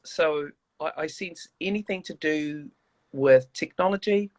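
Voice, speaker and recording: A woman from New Zealand with a New Zealand accent, chatting as an interview guest on a video call, warm and rambling with many restarts. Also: deep voice, measured pace, no room sound. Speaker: guest, church leader. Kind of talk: casual interview chat.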